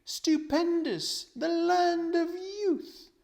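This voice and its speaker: A storybook old gentleman in his sixties, pitched far above a normal man's voice. He is vain, greedy and excitable, with pompous, exclamatory delivery. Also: drawn-out words, creaky, exaggerated voice. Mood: gleeful, scheming. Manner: gleeful, pompous, grandly exclamatory. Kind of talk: storybook read-aloud character voice.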